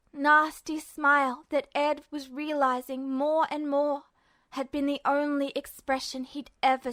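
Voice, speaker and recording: A woman's voice: high voice, fairly bright tone, no room sound, clean studio sound. A woman reading dramatic fiction aloud as an audiobook narrator.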